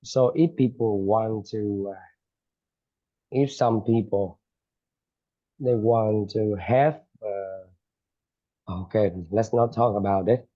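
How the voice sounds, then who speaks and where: A man teaching an English lesson, slow and hesitant, with lots of fillers and self-corrections. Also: on the low side, steady tone, dull audio.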